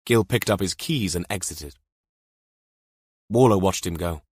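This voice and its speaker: Male audiobook narrator, lowish pitch, measured storytelling, scripted thriller narration, dry studio-like sound. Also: darkish tone, breathy edge, no room sound.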